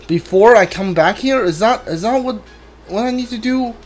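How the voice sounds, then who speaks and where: A man giving casual video game commentary in a halting way, stumbling over his words. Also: high-pitched. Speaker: gamer streaming a playthrough. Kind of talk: casual gameplay commentary, reading dialogue aloud. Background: game music.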